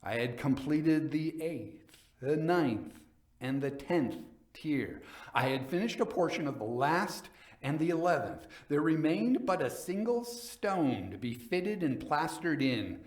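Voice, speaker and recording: A man giving a dramatic reading as a coldly vengeful nobleman recounting his murder in a gothic tale, theatrical, deliberate and emphatic. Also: fairly high pitch, expressive, roomy sound. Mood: tense, intense.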